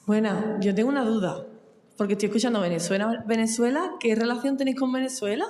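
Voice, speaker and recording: Quick-talking Spanish woman, echoey hall. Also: on the high side, darkish tone. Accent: Spain. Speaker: audience member. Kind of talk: informal questioning. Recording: echoey hall, faint noise.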